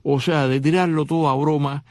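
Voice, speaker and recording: A man's voice: a little high, fairly even intonation, moderate pace, darkish tone, dry recording. A man hosting a radio talk show, talking to the listener in a conversational way, with many fillers and restarts. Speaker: radio host.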